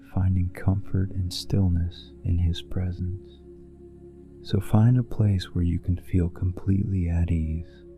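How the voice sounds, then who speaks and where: A man narrating a guided sleep meditation and bedtime story in a soothing, hushed, measured delivery. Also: low voice. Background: music bed behind the voice.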